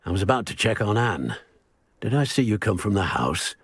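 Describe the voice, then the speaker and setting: An actor playing a stern, bitter middle-aged uncle who talks harshly and dismissively, in a video game cutscene. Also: male voice, lowish voice, sing-song, moderate pace, breathy edge, no room sound, studio quality. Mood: cold.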